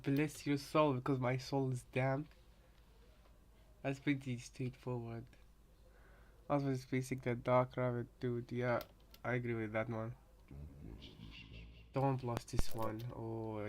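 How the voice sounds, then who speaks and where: Flat-toned man musing through casual commentary. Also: medium pitch, unhurried, long pauses. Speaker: co-commentator reacting to game voice lines.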